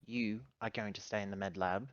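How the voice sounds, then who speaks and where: A man's voice: on the low side, fairly even intonation, a little fast, no echo, muffled recording, lightly acted. A man playing a tough, threatening female spaceship officer with a blunt, commanding manner in a tabletop game.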